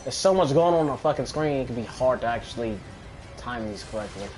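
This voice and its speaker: A man's voice: mid-range voice, expressive, fast talker, a little mellow. A young man giving offhand, chatty, profane commentary on a video game playthrough, with game music behind him. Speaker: gaming video host.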